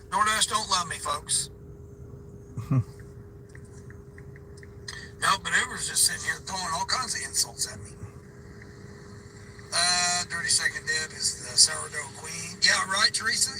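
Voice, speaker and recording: A man's voice: a little high, a little slow, long pauses. A man livestreaming from his parked car between deliveries, chatting and laughing as he reads viewer comments aloud. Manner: chatty, rambling, laughs often. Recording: car ambience hum behind voice.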